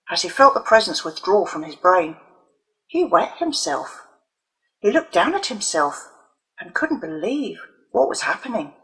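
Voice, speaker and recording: A woman reading a children's novel aloud as its author, in dramatic, deliberate audiobook narration. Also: mid-range voice, a bit bright, breathy edge, rough recording.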